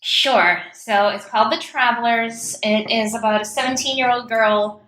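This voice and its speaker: A woman's voice: fairly high pitch, normal speed, fairly bright tone, slight background noise. A woman chatting informally and enthusiastically as a guest playwright in an interview. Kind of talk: casual interview chat. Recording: roomy, echoing sound.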